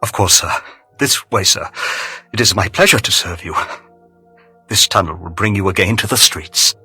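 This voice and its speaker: An actor voicing a timid, servile butler in a video game, husky and pleading, with a nervous stammer. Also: male voice, low voice, moderate pace, bright, forceful tone, soft background music, exaggerated voice. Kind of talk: theatrical video game voice acting.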